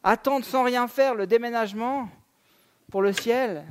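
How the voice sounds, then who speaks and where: A high-voiced man in his thirties delivers a church sermon. He keeps it conversational, mixing fillers and relaxed asides into his preaching. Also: unhurried, clean tone. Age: 30s. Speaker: pastor. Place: church sanctuary.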